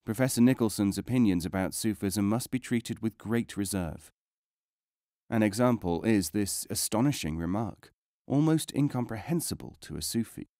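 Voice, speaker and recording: A man reading non-fiction as a scripted audiobook narration, in a measured, formal way with careful enunciation. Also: lowish voice, expressive, dark tone, dry recording, studio quality.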